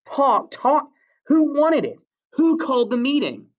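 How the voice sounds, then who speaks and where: A man's voice: sits high, huge pitch swings, unhurried, muffled recording, pitched above the performer's own voice, exaggerated voice. A man voicing a troubled, exasperated boy leader in a storybook reading, speaking in short questioning bursts.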